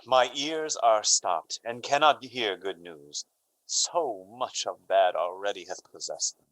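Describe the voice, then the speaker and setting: A man playing a lovesick, despairing young gentleman in a Shakespearean radio drama, declaiming with anguish. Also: on the low side, lively intonation, slow pace, rough recording. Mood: bleak.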